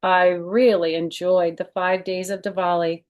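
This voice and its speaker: Female storytime reader for kids, slightly lower register, expressive delivery with informal explanatory asides. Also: a little slow. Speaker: storytime host reading a picture book to children. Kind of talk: expressive read-aloud of a children's book with chatty asides.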